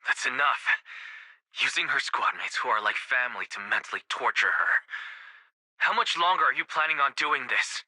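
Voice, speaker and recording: A man's voice: medium pitch, expressive, normal speed, sharp tone, no echo, poor audio. An actor playing a protective, principled young male ally in a video game, firm and earnest in confrontation. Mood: stern.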